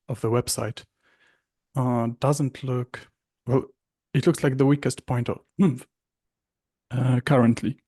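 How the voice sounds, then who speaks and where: A man joining remotely to ask a careful, halting question, with many pauses and fillers. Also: medium pitch, darkish tone, dry recording, clean studio sound. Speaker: online questioner. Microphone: remote call mic.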